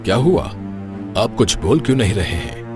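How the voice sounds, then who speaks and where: Man playing a dignified cartoon king, lowish voice, expressive, music underneath. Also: moderate pace. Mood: regal. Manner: calm and dignified. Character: kind, wise male king. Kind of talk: cartoon voice acting. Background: background music.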